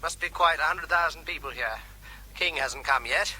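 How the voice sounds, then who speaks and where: A man's voice: a little high, moderate pace, sharp tone, low-quality recording. An actor playing a facetious, nervy army captain giving a running commentary as he works on a bomb, with faint noise behind, in a radio drama. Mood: jokey, nervy.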